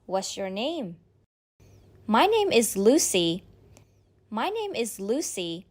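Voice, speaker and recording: A woman reading a beginner's speaking drill, saying each line slowly and clearly, then repeating it. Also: medium pitch, sing-song. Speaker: model speaker in a language drill. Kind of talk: slow scripted drill reading.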